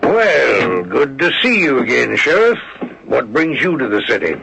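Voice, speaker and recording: An actor playing a brisk, defensive, middle-aged American city prosecutor in an old-time radio drama, with a sharp, impatient, protesting delivery. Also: male voice, medium pitch, sing-song, vocal fry, loud music behind the voice. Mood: smug. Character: brisk, defensive big-city state prosecutor, self-assured man. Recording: noisy old radio recording.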